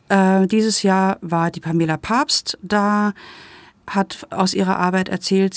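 A woman hosts a report in a conversational presenting style. Her pitch sits in the middle range for a woman, and the sound is close and very dry, with hardly any room in it. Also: darkish tone, no room sound. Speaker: host introducing an event report.

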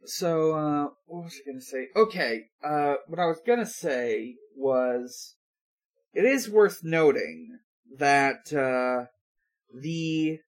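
A man hosting a podcast, chatting casually through a recap with a halting, hesitant delivery and frequent pauses. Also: on the high side, expressive. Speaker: podcast host. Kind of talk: casual recap talk with co-hosts.

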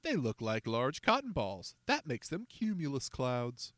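A man voicing a proud teenage boy who answers slowly and deliberately. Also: mid-range voice, huge pitch swings, fairly bright tone, no room sound, studio quality.

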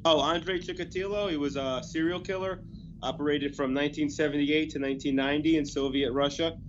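Man, slightly higher voice, stuffy-nosed, deadpan talker; muffled lo-fi capture. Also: fairly quick, slight background noise. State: nasal from a cold. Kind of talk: casual chat.

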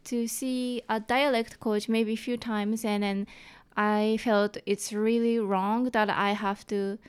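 Slow-talking Japanese-accented woman. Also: mid-range voice, little pitch movement, smooth. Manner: halting, many fillers and restarts. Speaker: comedian guest. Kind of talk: casual interview chat.

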